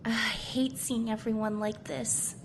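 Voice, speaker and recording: A woman's voice: a little high, little pitch movement, takes it slowly, reverberant, long reverb tail, background noise. A woman playing an earnest, sorrowful young cadet in an anime parody drama. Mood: downcast.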